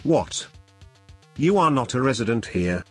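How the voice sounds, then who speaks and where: A man playing a pompous, sardonic robot animus, exasperated and theatrical, in a scripted comedy sketch, with a husky voice. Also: mid-range voice, very animated, unhurried, faint music behind. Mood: alarmed, exasperated. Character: fussy, exasperated robot eyeball, a sarcastic male animus.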